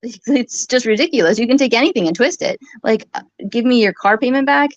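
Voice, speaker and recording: A woman's voice: on the high side, brisk, dry recording. A woman in a heated, informal argument, talking in an accusatory, rambling way and sometimes swearing. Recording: muffled lo-fi audio.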